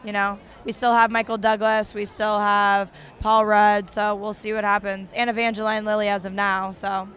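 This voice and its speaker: A woman co-hosting a movie news chat, enthusiastic and fluent, her voice hoarse and fading. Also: mid-range voice, level tone, moderate pace, few pauses, fairly bright tone. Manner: enthusiastic, fluent, many "you know" fillers. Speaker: co-host of a movie news show. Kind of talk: casual chat about movies. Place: outdoors at a busy convention.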